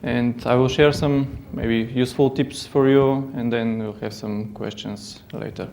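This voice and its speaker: A man with a Bulgarian accent presents at a technical conference, his gravelly voice sitting at an ordinary middle pitch. He talks haltingly, stopping to fill gaps and restart his sentences. A faint hiss of noise sits under the recording. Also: fairly even intonation, darkish tone. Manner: hesitant, many fillers and restarts. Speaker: conference speaker. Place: conference hall.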